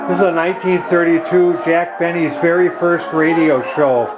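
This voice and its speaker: A man's voice: fairly high pitch, fairly even intonation, normal speed. Guy doing a casual show-and-tell, bit hesitant, echoey room w music playing. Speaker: vintage radio restorer and demonstrator. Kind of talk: casual unscripted demonstration talk. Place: indoors at home. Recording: roomy home recording with music behind.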